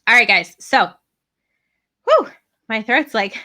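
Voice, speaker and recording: A young woman talks straight to her listeners in a loose, chatty live-podcast style. She is encouraging and full of fillers and asides. Her voice sounds worn and a little hoarse after a long day of talking. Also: a little high, wildly swooping pitch, slow, pushed and bright. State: voice tired and starting to go hoarse. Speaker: podcast host and direct sales coach. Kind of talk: casual live video podcast advice.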